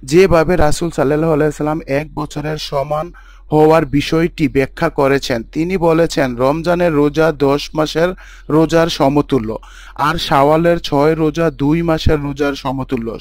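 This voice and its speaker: A man playing a pious husband and father in a scripted Islamic story, explaining teachings in a measured, didactic way. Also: a little high, steady tone, a little mellow, no echo, studio quality, natural voice. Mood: earnest, instructive.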